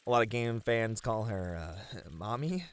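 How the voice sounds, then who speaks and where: A man's voice: on the low side, animated, unhurried. A man playing a friendly, slightly flustered gaming tournament manager in an ASMR roleplay, chatting casually with some hesitation. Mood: flustered, amused. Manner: casual, chatty, hesitant filler-laden banter.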